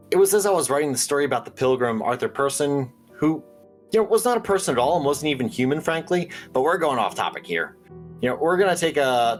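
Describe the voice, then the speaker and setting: A man's voice: medium pitch, lightly acted. A man playing a matter-of-fact host of a paranormal call-in radio show. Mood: musing, matter-of-fact. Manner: matter-of-fact about bizarre things. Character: folksy conspiracy radio host of weird small-town stories.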